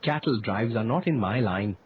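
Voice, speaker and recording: A wry, deadpan artist, as a male storyteller plays him, unruffled and coolly sarcastic, his voice rising and falling freely. The sound is tinny and phone-like, with faint hiss. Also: on the low side, moderate pace, lightly acted. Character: dry, unflappable male artist.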